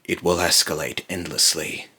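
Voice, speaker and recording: A man voicing a brooding otherworldly being in a slow, halting, grave monologue, raspy and breathy, warning darkly about mortals. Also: sits low, fairly even intonation, sharp tone, full character voice. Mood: stern warning. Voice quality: raspy, breathy, lapsing into harsh whisper. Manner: slow, halting, repeats phrases, ominous and grave. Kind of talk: dark dramatic monologue.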